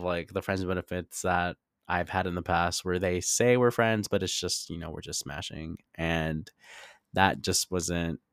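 A man in his twenties with a fairly low, clean voice, recorded close and dry. He talks like a podcast host confiding in friends, informal and chatty, peppering his stories with filler words and the odd swear. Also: fairly bright tone, clean tone, no echo. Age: 20s. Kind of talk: casual confessional storytelling.

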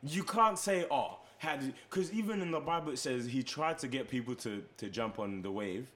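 A man's voice: medium pitch, normal speed, a bit bright. A man in casual group chat, playful and stubborn, often laughing and using British slang.

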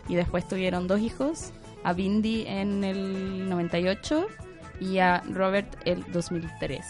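Casual woman podcast host, rambly and giggly, soft music under her. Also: medium pitch, flat delivery, a little slow. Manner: rambling, many fillers, laughs often. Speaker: co-host presenting the segment.

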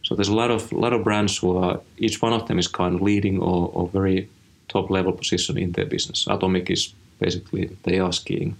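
A man's voice: low voice, flat delivery, brisk, gravelly. A man in his forties with a Finnish accent, speaking as an interview guest in a rambling, casual way with many restarts. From Finland. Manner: rambling, frequent restarts and fillers. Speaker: interview guest, design executive.

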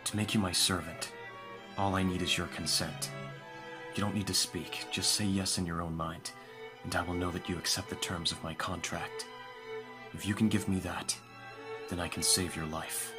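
Man acting a lordly vampire master, very low voice, formal, composed, commanding, audio roleplay, music behind. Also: little pitch movement, normal speed, natural voice. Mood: solemn, persuasive. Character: lordly young-sounding male vampire master, calm and commanding.

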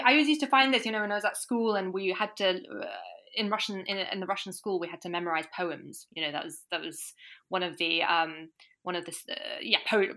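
A woman's voice: medium pitch, sharp tone. A woman guest in a casual interview, talking thoughtfully with many restarts. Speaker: language course provider, guest.

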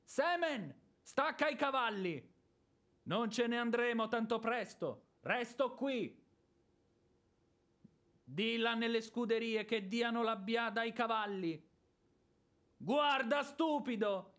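An actor playing a boorish, hot-tempered ex-officer creditor in a radio drama, sarcastic and theatrical, moving between muttering and bluster. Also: male voice, very high-pitched, unhurried, a bit bright, heavily acted. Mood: angry, stubborn. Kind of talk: radio drama stage acting.

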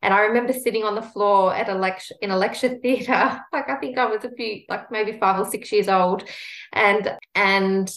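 A woman's voice: mid-range voice, fairly even intonation, normal speed, a bit bright. Woman in an echoey room. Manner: hedging, conversational, frequent "you know". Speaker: guest, university equity practitioner. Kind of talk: casual, personal interview answer. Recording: roomy, echoing sound.

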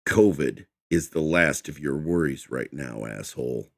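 An actor reading the lines of a huge, sneering kidnapper in a slow, cold delivery. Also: male voice, very deep voice, creaky, no echo, clean studio sound, full character voice. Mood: menacing. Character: huge menacing male kidnapper.

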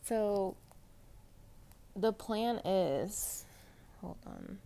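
A young woman with a soft, pleasant voice chatting casually and rambling in a personal podcast monologue, full of fillers. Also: mid-range voice, animated, very slow, creaky. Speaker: podcast host.

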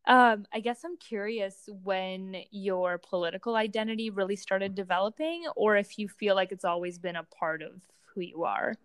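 A woman's voice: mid-range voice, steady tone, moderate pace, few pauses, clear, resonant tone, no room sound. A young adult woman from Romania co-hosting a casual, reflective podcast conversation, hesitating as she searches for words. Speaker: podcast co-host, doctoral student in psychology.

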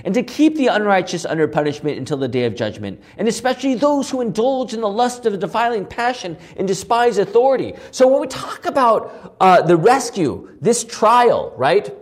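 A man with a hoarse voice teaching a Bible passage, asking questions and answering them himself. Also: high voice. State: losing his voice, hoarse. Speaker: pastor teaching a Bible study.

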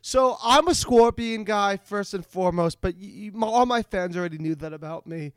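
A man co-hosting a casual comedy podcast, excitable and stammering, often repeating his words. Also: high-pitched, moderate pace, smooth, no echo. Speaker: podcast co-host.

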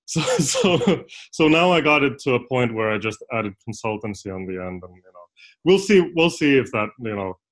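A young man from Finland, a consultant who talks as a podcast guest in a halting, rambling way with many restarts. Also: medium pitch, sing-song, poor audio. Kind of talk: casual interview talk.

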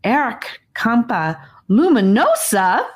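A woman's voice: on the high side, big pitch swings, takes it slowly, pitched above the performer's own voice, full character voice. A woman voicing a proud, knowledgeable young boy in an audiobook, explaining things in a precise, knowing manner.

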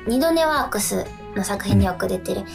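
A woman's voice: medium pitch, expressive, a little fast, gravelly, music in the background. A woman co-hosting a radio show, chatting casually and giving announcements in a polite manner.

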